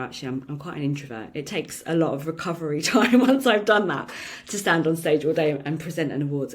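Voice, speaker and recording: A woman hosting a solo podcast, talking in a chatty, reflective way with lots of "um"s and a slightly croaky voice. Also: low-pitched. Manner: chatty and reflective, many "um" fillers, laughs now and then. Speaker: podcast host.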